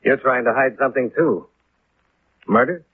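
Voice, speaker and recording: An American man playing a terse, world-weary insurance investigator in a 1950s radio detective drama, speaking in a clipped, deadpan way. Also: medium pitch, moderate pace, a little hiss or hum, close to an everyday voice. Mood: probing, accusing. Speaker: lead radio actor and narrator. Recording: muffled, narrow-band vintage 1950s radio.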